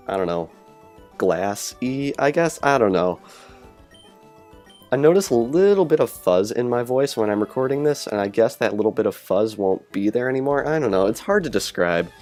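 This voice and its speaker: A man's voice: medium pitch, lively intonation, normal speed, darkish tone. A man casually talking over his gameplay, rambling and correcting himself as he goes, recorded through a cheap earbud headset microphone. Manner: rambling, self-correcting, chatty. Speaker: let's play commentator. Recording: lo-fi earbud mic with game music.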